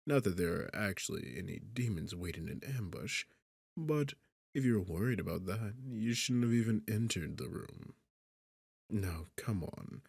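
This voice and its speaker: A man's voice: fairly low pitch, lively intonation, moderate pace, vocal fry, a little mellow, husky, no echo, clean studio sound, exaggerated voice. A man playing an arrogant, sarcastic demon king in a seductive fantasy ASMR roleplay, with a smug, teasing, condescending manner. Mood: mocking, coaxing. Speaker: audio roleplay performer.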